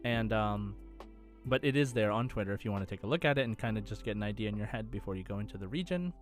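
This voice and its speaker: A man's voice: lowish voice, expressive, fast, soft background music. A man co-hosting a casual gaming podcast, talking in a rambling, informal way. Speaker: podcast co-host. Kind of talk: casual chat about video game news.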